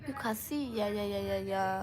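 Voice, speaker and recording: A woman's voice: medium pitch, normal speed, a little mellow. Casual Northeast Indian woman live-streaming. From Meghalaya, India. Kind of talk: casual live-stream chat, reading and answering comments.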